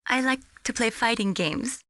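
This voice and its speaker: An actress playing an earnest, gently teasing young female radar technician in a video game. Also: a little high, normal speed, no room sound, clean studio sound. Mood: thoughtful. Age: young adult. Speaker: mission support operator. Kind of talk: scripted video game voice acting.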